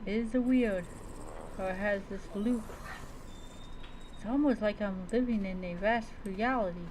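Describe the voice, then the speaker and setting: A woman with a mid-pitched voice talks through a video game as a streamer, half in character. She muses aloud without hurry. Clear background noise and game ambience sit behind her voice. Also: a little slow. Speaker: gaming streamer. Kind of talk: casual in-character game commentary.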